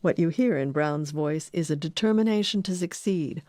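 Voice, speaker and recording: A woman's voice: deep voice, moderate pace, warm tone. A woman reading scripted narration as the host of an audio documentary.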